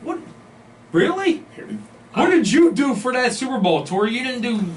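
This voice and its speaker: A man's voice: very high voice, sing-song, moderate pace. A man co-hosting a sports show, arguing casually like a fan in an emphatic, opinionated, slangy way. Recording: distant mic, faint background noise.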